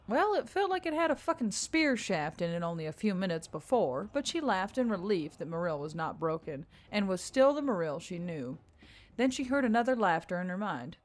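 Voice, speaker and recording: A woman reading scripted fiction as a dramatic narrator. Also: lowish voice. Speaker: story narrator.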